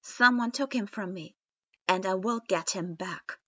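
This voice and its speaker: A woman's voice: medium pitch, unhurried, sharp tone, husky. A woman reading a grim, earnest boy ninja. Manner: grave and earnest. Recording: dull lo-fi recording.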